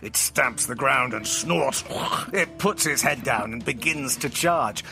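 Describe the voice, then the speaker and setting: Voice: medium pitch, unhurried, vocal fry, music in the background. An actor in an audio drama playing a theatrical, playful storyteller friend with hammy flourishes and sheepish, affectionate asides. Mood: gleefully theatrical.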